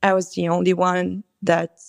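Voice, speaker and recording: A woman's voice: lowish voice, completely flat delivery, normal speed, vocal fry, no echo, clean studio sound. A woman in her twenties from Morocco, speaking English as a second language, answers interview questions hesitantly, often searching for words. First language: not English. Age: 20s. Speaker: interview guest. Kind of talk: conversational interview.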